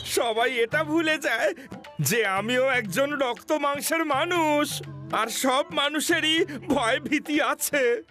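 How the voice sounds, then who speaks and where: High, whiny, cowardly police inspector. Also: male voice, pushed and bright, full character voice. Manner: whining, stammering with fright. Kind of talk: exaggerated comic cartoon dubbing.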